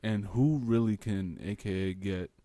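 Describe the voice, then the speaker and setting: A young man with a low voice who co-hosts a podcast and talks fight sports bluntly and profanely. His voice is close on a dry mic with no room echo. He swings from laid-back to fired up. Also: normal speed, warm tone. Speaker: podcast co-host. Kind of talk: casual chat.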